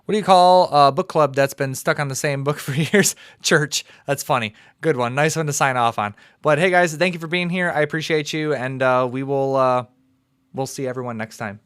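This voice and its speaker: A man's voice: on the high side, moderate pace, fairly bright tone, smooth, dry recording. Young American male streamer, casual host patter, clean dry voice. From United States. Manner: conversational, filler-heavy, upbeat sign-off. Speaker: livestream host. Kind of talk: casual call-in debate stream.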